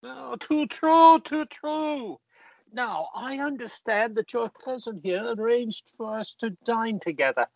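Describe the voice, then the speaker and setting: Guy doing smug flirty victorian parliament gent, slow and drawn out, very up-and-down, radio drama. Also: very high voice, lively intonation, vocal fry, no room sound, full character voice, poor audio. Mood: jovial. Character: pompous, lecherous, jovial Victorian gentleman member of Parliament. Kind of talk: radio play acting.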